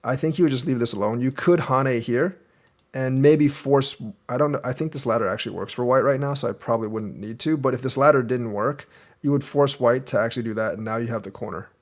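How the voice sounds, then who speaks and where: A man's voice: medium pitch, a little fast. A young man teaching a board game, casually reviewing a student's match and thinking aloud as he explains each move step by step.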